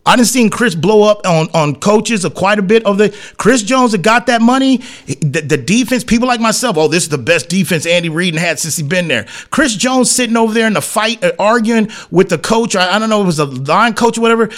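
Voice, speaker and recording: A man's voice: high voice, animated, fairly quick, hardly any pauses, a bit bright. A man hosting sports talk, giving an emphatic, blunt, opinionated monologue in colloquial, slangy speech and repeating phrases to hammer them home. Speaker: sports talk show host.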